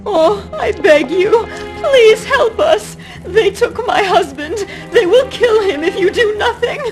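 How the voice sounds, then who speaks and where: An actress playing a humble, desperate wife in a game, speaking in a pleading, emotional, deferential manner. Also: female voice, very high-pitched, lively intonation, takes it slowly, full character voice. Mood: tearful, desperate. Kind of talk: video game cutscene acting. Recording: loud music behind the voice.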